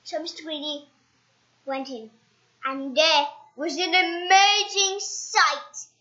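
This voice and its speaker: High child voice, bright, slow halting storybook reading, roomy echo, dull lo-fi recording. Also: steady tone. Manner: halting, stumbling reading with self-corrections. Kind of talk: read-aloud storytelling of a picture book.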